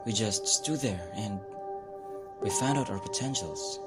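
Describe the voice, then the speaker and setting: A man's voice: fairly low pitch, measured pace, loud music behind the voice, natural voice. A man playing a troubled male student who recounts events hesitantly, often restarting his words. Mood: uneasy.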